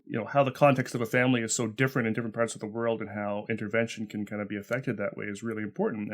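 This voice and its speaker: A man hosting a podcast interview, talking casually and rambling with many fillers and restarts. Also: medium pitch. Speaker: podcast host.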